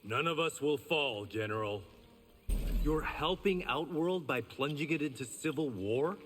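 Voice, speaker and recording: A man's voice: on the high side, expressive, unhurried, fairly bright tone, big-room sound, faint music behind. An actor voicing an honourable reformed gangster swordsman in a fighting game, dry and self-assured.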